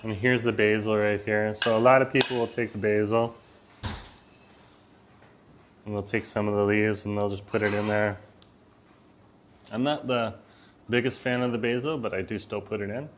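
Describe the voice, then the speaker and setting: A man with a fairly low voice talks casually on a live stream from a restaurant table. He is chatty and easygoing, and he keeps his naturally loud voice a bit lower. Faint restaurant ambience sits in the background of the phone recording. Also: steady tone, a little fast, a little hiss or hum. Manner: chatty, easygoing, laughs often, reads chat aloud; says he has a loud voice and lowers it. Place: Vietnamese restaurant.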